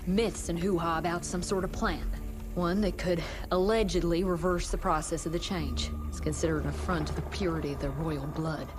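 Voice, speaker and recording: An actress playing a sceptical, precise woman scientist in a video game, explaining in a measured way. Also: fairly low pitch, steady tone, lightly acted. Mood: matter-of-fact. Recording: loud game music behind.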